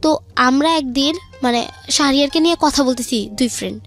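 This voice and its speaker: A woman's voice: high voice, fairly quick. A young woman with a Bangladeshi accent tells her own story conversationally, recounting who said what in a colloquial way over music. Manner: colloquial, recounting dialogue turn by turn. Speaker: guest telling own life story.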